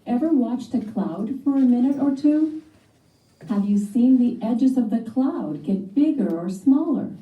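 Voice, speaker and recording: A woman narrating a scripted educational read-aloud for children in a measured, explanatory way. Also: mid-range voice, roomy sound, recorded from a distance, narrow, tinny audio.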